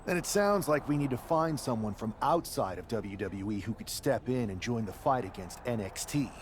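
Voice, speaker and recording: An actor playing a loyal, wary, determined wrestler in a video game, sincere and conversational. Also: male voice, medium pitch, animated, normal speed, a little hiss or hum, lightly acted. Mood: confident. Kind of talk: scripted video game cut-scene dialogue.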